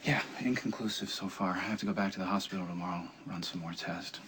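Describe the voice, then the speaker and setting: A man's voice: low voice, fast talker, noisy background, lightly acted. An actor playing a brooding, impatient wealthy man in a television soap opera. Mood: weary, frustrated.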